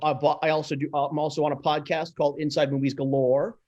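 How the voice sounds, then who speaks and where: A man with a somewhat high voice talks casually as a panel guest. His delivery is halting, with repeated and restarted phrases. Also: level tone, a little mellow, dull audio. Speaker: panelist, indie film producer and reader. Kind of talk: casual chat.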